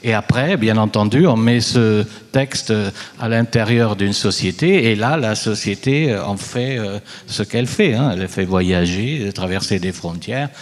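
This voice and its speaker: A man's voice: on the low side, unhurried, few pauses. A man whose first language is Italian speaks on a round-table panel in a chatty, anecdotal way, often adding "hein". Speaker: panelist, writer. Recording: long hall reverb.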